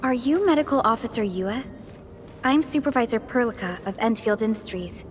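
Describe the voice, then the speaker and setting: A woman voicing a calm, formal young company supervisor in a video game. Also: a little high, normal speed, slight background noise, natural voice. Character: composed young female industrial company supervisor. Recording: faint music behind the voice.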